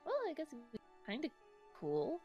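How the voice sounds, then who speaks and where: A woman's voice: on the high side, sing-song, normal speed, a little mellow, lo-fi sound, soft background music. A woman playing a skittish, sarcastic animatronic fox sidekick in an improvised game roleplay, dry and often stammering. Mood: dry, reluctant.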